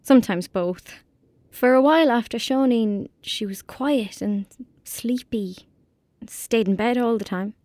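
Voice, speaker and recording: A woman's voice: a little high, unhurried, a little mellow, no echo, close to an everyday voice. Woman playing a tender young rural Irish girl. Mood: wistful, sad. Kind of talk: audio drama acting, monologue and dialogue.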